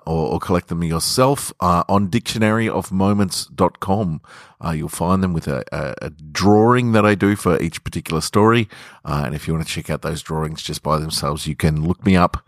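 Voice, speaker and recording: Deep voiced guy doing a story podcast, really dry close sound. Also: dry recording. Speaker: podcast host reading their own short stories. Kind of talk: casual podcast introduction, then slow narrated fiction reading.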